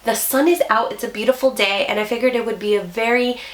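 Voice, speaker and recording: A woman talks through her favorite makeup, hoarse and sniffly from a cold. She gushes in a chatty way and heaps praise on each product, her voice sitting a little high. The room around her has a noticeable echo. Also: fairly even intonation, fast. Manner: chatty, enthusiastic, repeats praise words. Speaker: beauty vlogger. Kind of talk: casual product review vlog. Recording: echoey room.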